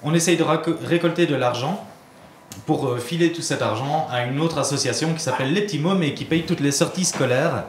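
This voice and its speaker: A man's voice: on the high side, fairly quick. A man answering interview questions as a guitar teacher, explaining things informally in casual conversation. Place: indoor studio. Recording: roomy echo, clear background noise.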